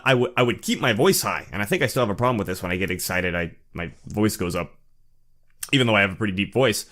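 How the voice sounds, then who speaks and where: A man talks straight to the camera in a loose, unscripted monologue. His voice sits at an ordinary middle pitch, and he often stammers and circles back as he gathers his thoughts. He stays candid and reflective throughout. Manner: halting, with frequent stammered restarts. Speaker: vlogger sharing personal experience. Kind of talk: casual personal monologue.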